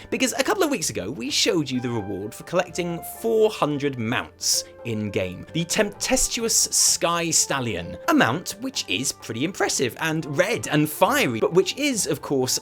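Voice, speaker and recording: Sarcastic, very animated man hosting gaming news. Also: mid-range voice. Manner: sarcastic, theatrical, emphatic with dramatic pauses. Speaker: host of a gaming news show. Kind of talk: scripted satirical news commentary.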